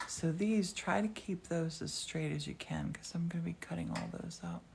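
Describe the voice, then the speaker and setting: A woman's voice: lowish voice, normal speed, creaky, a little mellow, slight background noise. A woman hosting a live demonstration in a glass studio, chatting casually and with enthusiasm. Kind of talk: casual live-stream chat and commentary.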